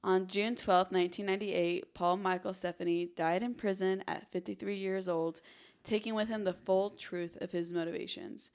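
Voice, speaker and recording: A woman co-hosting a true crime podcast in casual chat, conversational and expressive in how she reacts to the stories. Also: mid-range voice, normal speed, clean tone.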